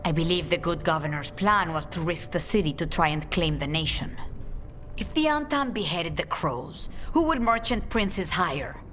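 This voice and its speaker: A low-pitched woman's voice with faint music behind it. She is a composed, resolute underworld leader who chooses her words formally and deliberately, as in a dramatic fantasy cutscene. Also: animated, fairly bright tone. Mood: calm determination. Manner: measured, formal, deliberate phrasing. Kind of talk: video game cutscene acting.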